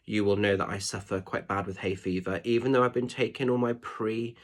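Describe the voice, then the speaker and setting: A man, a spiritual medium, giving a casual oracle card reading in a nasal, stuffed-up voice, chatty and gently reassuring. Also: on the low side, steady tone, fairly quick. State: congested with hay fever or a cold. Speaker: spiritual medium and tarot reader.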